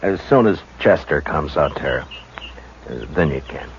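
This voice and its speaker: A steady frontier marshal with a very deep voice, calm and measured as he narrates. The sound is a muffled old radio recording with loud hiss. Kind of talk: 1950s radio drama acting and narration.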